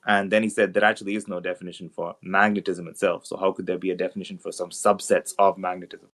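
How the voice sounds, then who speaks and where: Man, very low voice, rapid talk, exasperated, swears, online debate. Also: level tone, a bit bright. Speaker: debater on a call.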